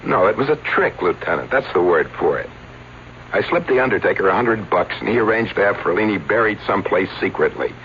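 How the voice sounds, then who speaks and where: Man acting a low-voiced, animated showman publicity agent. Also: a little fast, close to an everyday voice. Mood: wry, confessional. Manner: colloquial, dramatic. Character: showman publicity agent, wry, regretful, confessing to a detective. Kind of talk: old-time radio drama acting. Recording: muffled vintage radio recording, faint hiss.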